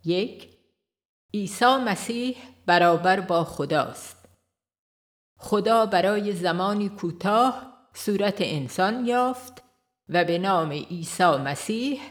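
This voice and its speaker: A woman reading scripted audiobook narration in a measured, formal manner. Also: lowish voice. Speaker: audiobook reader.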